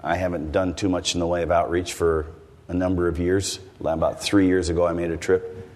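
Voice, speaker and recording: An older man with an American accent preaches in a relaxed, folksy manner, wandering through side stories and asking the congregation questions. His voice sits very low. It rings out with a long reverb, as in a large church hall. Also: fairly quick. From United States. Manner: folksy, rambling, with asides to the congregation. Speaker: visiting preacher. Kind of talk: sermon.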